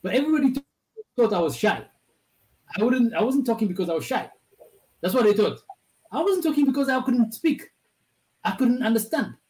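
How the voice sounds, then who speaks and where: A man's voice: extremely high pitch, lively intonation, brisk, pauses often, darkish tone, low-quality recording. A man from Ethiopia with an Ethiopian accent, Amharic his first language, telling a story in a loose, rambling way over a video call. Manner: rambling, restarting phrases. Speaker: panel speaker. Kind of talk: casual storytelling in a discussion.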